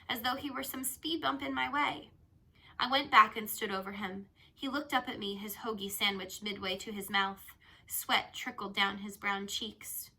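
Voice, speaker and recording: A woman reading a children's novel aloud as a storyteller, with an expressive, animated delivery. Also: a little high. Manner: animated, acts out dialogue.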